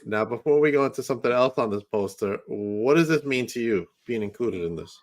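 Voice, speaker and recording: A man's voice: medium pitch, animated, brisk. Upbeat New York man hosting a podcast. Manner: upbeat, easygoing, laughs along. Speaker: podcast host. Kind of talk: casual podcast interview.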